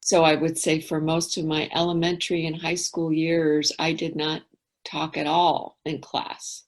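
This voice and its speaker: Middle-aged woman, interview guest; candid, reflective, a touch low in pitch. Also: monotone, a little slow. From England. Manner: thoughtful, candid, trails off into quiet asides.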